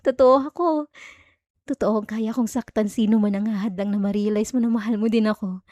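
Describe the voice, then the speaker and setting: A woman acting out an obsessive, possessive stalker in an ASMR roleplay, coaxing and menacingly sweet, with halting stammers and giggly veiled threats. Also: medium pitch, fairly even intonation, dark tone, no room sound, natural voice. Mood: insistent, desperate.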